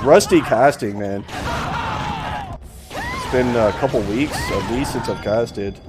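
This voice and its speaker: A man doing live play-by-play as an esports shoutcaster. He is losing his voice and bursts into excited shouting on big plays. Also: medium pitch, slow pace, over loud music.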